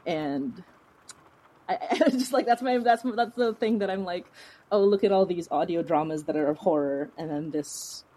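A woman's voice: on the low side, moderate pace, warm tone. A woman from the Philippines chatting informally as an interview guest, rambling and often giggling as she talks. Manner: giggly, laughs through speech, rambling and informal. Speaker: guest, horror fiction podcast creator. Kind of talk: casual interview chat.

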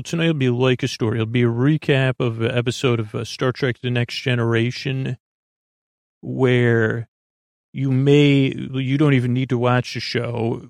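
Creaky, rambling sleep-podcast man. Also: mid-range voice, dry recording, clean studio sound. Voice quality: creaky, soothing. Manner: meandering, loses his thread, many fillers. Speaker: podcast host.

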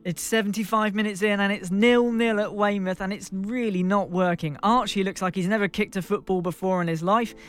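A man from England, a bit hoarse, narrating a comic football role-playing game as game master, with mock-dramatic delivery that leans into punchlines. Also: sits high, steady tone, a little fast, hardly any pauses. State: slightly hoarse. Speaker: podcast co-host and game master.

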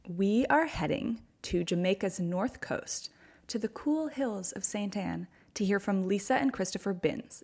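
Woman podcast host, slightly low voice, upbeat interviewer. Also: vocal fry.

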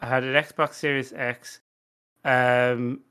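A man's voice: mid-range voice, level tone, takes it slowly, pushed and bright, clear, resonant tone. A man chatting casually as a podcast panelist, rambling and stammering through many restarts. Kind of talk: casual chat.

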